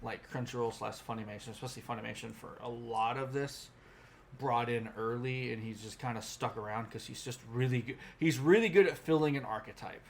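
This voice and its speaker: A man's voice: mid-range voice, fairly quick, vocal fry, a little hiss or hum. Casual male podcaster with mid-range voice. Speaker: podcast co-host. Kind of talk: casual chat about anime.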